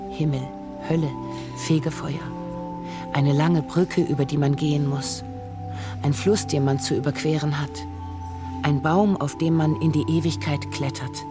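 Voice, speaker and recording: A narrator for a radio play, reflective and measured. The voice sits at a middle pitch, with music clearly behind the scripted prose.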